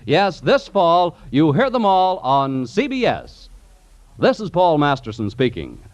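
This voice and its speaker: A man's voice: fairly high pitch, huge pitch swings, measured pace. A man making scripted announcements as a 1940s radio network announcer. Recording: muffled vintage radio recording. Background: faint music.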